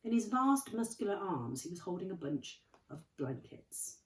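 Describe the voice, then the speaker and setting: A woman, a primary school teacher, reading a storybook aloud in an expressive manner. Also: on the low side, normal speed, distant mic. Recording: roomy, from off the mic.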